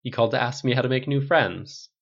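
A man voicing a dry, gently teasing male military friend. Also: medium pitch, fast talker, vocal fry, highs cut off, voice pushed up.

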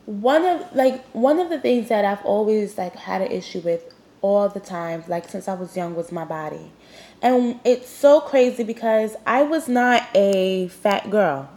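A woman's voice: medium pitch, animated, a little slow, a little mellow. Brief: young woman host, nasal and sniffling with a cold, blunt and emphatic, speaking straight to the listener. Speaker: podcast host. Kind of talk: candid motivational monologue.

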